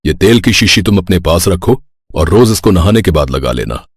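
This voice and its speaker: A man acts out a kindly helper in an audio folk tale. His voice is low and husky, earnest and reassuring, with a very dry sound. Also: very animated, normal speed, dry recording, exaggerated voice. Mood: kindly advising. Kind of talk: acted dialogue in an audio story.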